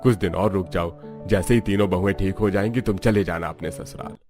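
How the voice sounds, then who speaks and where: A man's voice: deep voice, a little fast, lightly acted. A man playing a firm, fatherly elderly head of household in a cartoon. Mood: placating. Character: stern but softening elderly head of household. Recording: background music.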